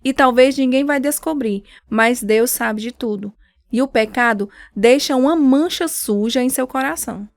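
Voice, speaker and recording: A woman's voice: high voice, normal speed, no room sound. An actress playing a devout, weary, caring mother in a radio drama. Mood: gently admonishing.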